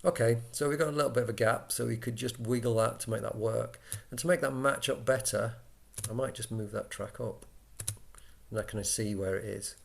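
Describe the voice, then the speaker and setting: A man talking through an informal software tutorial, casual and a little hesitant as he thinks aloud into a laptop microphone. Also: medium pitch, fairly even intonation, a little fast, vocal fry. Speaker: tutorial presenter for radio volunteers. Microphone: built-in laptop microphone. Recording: laptop mic, background noise, key clicks.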